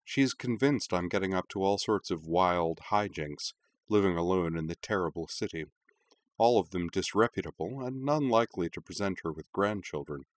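A man's voice: low-pitched, moderate pace, no room sound, natural voice. A man voicing an exasperated young woman in an audiobook, clipped, firm and dryly sarcastic. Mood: weary. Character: young woman, sharp-tongued, exasperated with her mother. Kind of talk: audiobook character voice.

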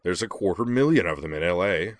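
A man voicing a big, affable young male student who speaks in a low, easygoing rumble. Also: lively intonation, brisk, dry recording. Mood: affable, concerned.

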